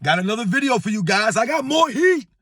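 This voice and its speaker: A middle-aged man with a hoarse, worn-out voice rants to his viewers about sports. His voice sits high and sounds tense and bright, and he keeps calling them "guys" as he hammers his point home. The sound is close and dry, with no room around it. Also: expressive, brisk, no room sound. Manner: emphatic, repetitive, addresses viewers as guys. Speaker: sports channel host. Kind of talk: casual talking-head rant. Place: on vacation at a beach.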